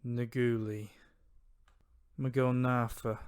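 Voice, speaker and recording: An actor playing a mad cultist in a radio drama, loudly intoning an eldritch incantation one word at a time. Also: male voice, medium pitch, flat delivery, smooth, natural voice. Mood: fervent, ominous. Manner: loud, slow, intoning word by word. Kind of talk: ritual chant in radio drama.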